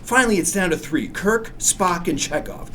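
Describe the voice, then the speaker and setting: A man playing an excitable young slacker at a table read, spinning a sci-fi tale in animated slang. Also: high voice, moderate pace, a little hiss or hum. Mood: eager.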